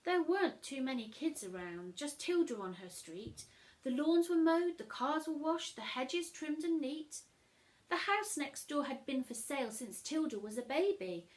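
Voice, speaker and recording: Female children's storyteller: high voice, lively melodic delivery, expressive rhyming narration. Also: measured pace. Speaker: storyteller, reading aloud.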